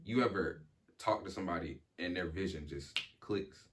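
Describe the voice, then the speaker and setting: A man's voice: low voice, moderate pace, noisy background. A young man talking reflectively in casual chat as a podcast guest, with many fillers, restarts and an occasional stutter.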